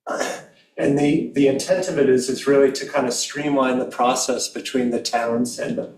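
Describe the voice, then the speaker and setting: A man on planning commission staff giving a slide presentation in an even, measured way. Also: medium pitch. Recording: echoey room from far off with faint noise.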